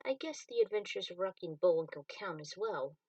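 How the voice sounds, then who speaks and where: A woman's voice: lowish voice, animated, a little fast, darkish tone. Distant-miked woman reading a story. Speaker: author reading their own fan fiction. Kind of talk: story narration read aloud. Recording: voice distant from the mic.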